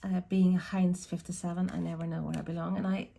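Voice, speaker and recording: Woman, Swedish-tinged accent, low voice, gravelly texture, unhurried meandering review chat. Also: little pitch movement, warm tone, a little hiss or hum. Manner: slow, thoughtful, meandering talk. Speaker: tarot deck reviewer. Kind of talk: casual deck review chat.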